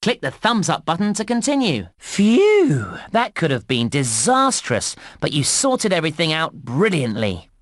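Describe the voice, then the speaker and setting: A British man voicing a cheerful, encouraging theme park guide in a children's computer game, speaking clearly and enthusiastically. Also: on the high side, fairly bright tone, no echo, studio quality. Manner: enthusiastic, clearly enunciated children's presenter delivery. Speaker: game narrator and tutorial guide. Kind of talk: scripted children's game narration.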